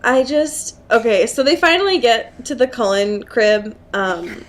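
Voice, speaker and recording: Young girl cohosting a silly book podcast, high voice, giggly irreverent banter. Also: animated, a little slow. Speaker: podcast co-host. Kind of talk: casual comedic book discussion.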